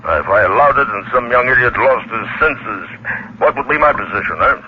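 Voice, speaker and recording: A man's voice: medium pitch, animated, a little fast, natural voice. Vintage radio waxworks manager played by a man: formal, cautious, faintly condescending, muffled sound with slight noise. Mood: cautious, businesslike. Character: brisk, cautious, businesslike male waxworks manager. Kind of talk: 1940s radio drama acting. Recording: muffled, noisy old radio recording.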